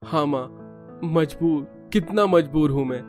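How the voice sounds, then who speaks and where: A man's voice: a little high, unhurried, a little mellow, faint music behind, voice pushed up, lightly acted. A man voicing a caring, worried young brother in a cartoon story, speaking earnestly and emotionally. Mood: anguished.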